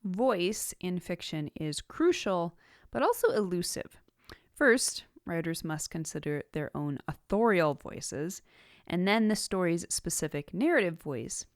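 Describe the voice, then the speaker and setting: Low-pitched woman presenting a scripted podcast, dry sound. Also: sing-song, a little slow, dry recording. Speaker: podcast host, writing teacher. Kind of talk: scripted instructional podcast narration.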